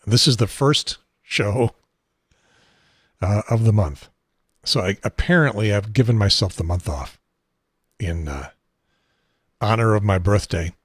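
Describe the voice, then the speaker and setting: A seventy-year-old man with an American accent and a husky, unsteady voice, hosting a casual unscripted talk show in a rambling, halting, wry way. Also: on the low side, expressive, dry recording, clean studio sound.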